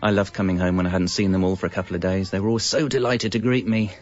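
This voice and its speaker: An actor playing a wry, evasive British husband and father in his thirties, confessing in a deadpan, conversational comic narration. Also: low-pitched, fast talker, lightly acted. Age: 30s. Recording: dull, lo-fi radio audio. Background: music.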